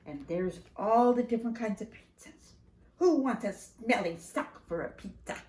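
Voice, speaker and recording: An older woman reading a picture book aloud to young children in an animated, sing-song way, speaking right to them. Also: mid-range voice, moderate pace, roomy sound, poor audio. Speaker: preschool staff storyteller reading to children.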